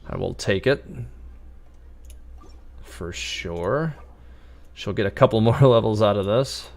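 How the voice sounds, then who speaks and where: A man's voice: lowish voice, slow pace, gravelly. A man doing casual game commentary on a livestream, chatting and thinking aloud as he plays. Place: indoors at a computer.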